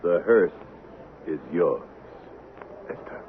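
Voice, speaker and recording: A bitter man of the Old West speaks low and terse in an American accent, cold and unforgiving. The vintage radio sound is narrow, with noise underneath. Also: animated, takes it slowly. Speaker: actor. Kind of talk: 1970s radio western acting. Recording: tinny, hissy vintage radio broadcast.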